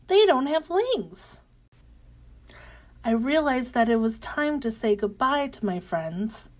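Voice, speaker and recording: Woman voicing an excitable little storybook caterpillar, unhurried. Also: high voice, heavily acted. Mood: happy wonder. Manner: gentle, expressive, with excited exclamations. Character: cheerful, wide-eyed young monarch caterpillar turned butterfly. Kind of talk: animated read-aloud of children's picture book.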